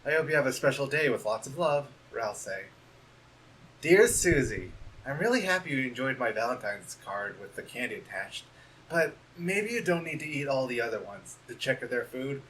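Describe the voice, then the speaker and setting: A man voicing a shy young goat prince who speaks softly, hesitantly and politely. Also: medium pitch, sing-song, moderate pace, slight background noise, poor audio.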